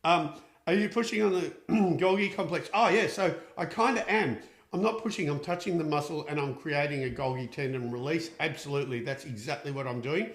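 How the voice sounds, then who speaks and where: A man's voice: on the high side, a little fast. A man hosting a casual live question-and-answer show, chatty and upbeat, often restarting with fillers. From Austria. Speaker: equine therapist and show host. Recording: echoey room.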